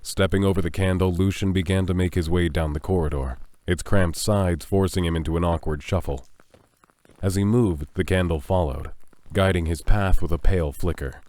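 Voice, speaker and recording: A man narrating a scripted audio drama in a measured, suspenseful storytelling manner. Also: sits low, darkish tone, slight background noise.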